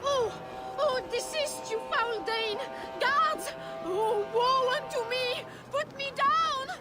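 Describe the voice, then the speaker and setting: A woman's voice: extremely high pitch, takes it slowly. A woman acting a playful, melodramatic noblewoman with a teasing manner in a video game cutscene. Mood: mock distress. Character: theatrical, playful noblewoman, teasing and thrill-loving. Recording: music behind the voice.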